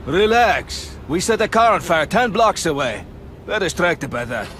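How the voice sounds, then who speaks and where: High-pitched, unruffled mob underling. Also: male voice, sing-song, unhurried. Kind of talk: video game cutscene acting. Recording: noisy outdoor street and vehicle ambience.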